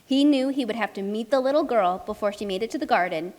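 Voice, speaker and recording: A woman's voice: a little high, quick pace, a little mellow, lightly acted. A woman playing a chatty, anxious storybook narrator, speaking expressively and emphatically in a children's puppet show. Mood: storytelling.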